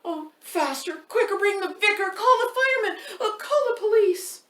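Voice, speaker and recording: Woman reading as a frantic, high-pitched storybook mother. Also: lively intonation, moderate pace, a bit bright. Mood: frantic, urgent. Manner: urgent, breathless exclaiming. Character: frantic, hysterical mother summoning help for her child. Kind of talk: rhyming picture-book read-aloud. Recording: roomy, distant mic, faint noise.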